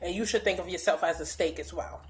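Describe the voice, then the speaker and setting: A woman's voice: on the low side, fast, slight background noise. Profane, blunt young woman telling a story. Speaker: vlogger. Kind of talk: storytime vlog.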